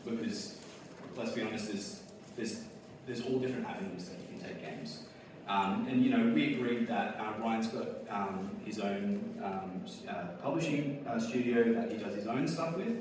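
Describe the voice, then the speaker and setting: Low-voiced man speaking in an echoey hall. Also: moderate pace. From Australia. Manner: casual, many fillers and laughs. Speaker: board game designer. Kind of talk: conference talk. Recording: echoey hall, speaker far from mic, audible noise.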